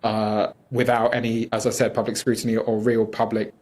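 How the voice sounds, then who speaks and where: A man's voice: lowish voice, completely flat delivery, moderate pace, a little mellow. A British man speaking as a campaigner in a political interview, arguing fluently, critically and with emphasis. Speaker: campaigner, studio guest.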